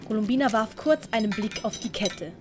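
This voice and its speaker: A woman's voice: medium pitch, animated, fairly quick, noisy background. A woman narrating a scripted audio drama as a storyteller.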